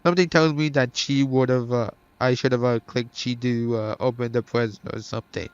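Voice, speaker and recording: A man's voice: mid-range voice, steady tone, fairly quick, clear, resonant tone, no room sound, lo-fi sound. A man doing casual let's play commentary, thinking aloud hesitantly and swearing now and then. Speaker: gaming streamer.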